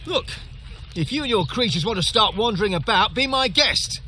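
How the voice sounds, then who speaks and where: A man's voice: high-pitched, animated, normal speed, sharp tone, a little hiss or hum. A man playing a weary, wary guard at a refugee camp in a fantasy game, blunt and exasperated. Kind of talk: fantasy video game character acting.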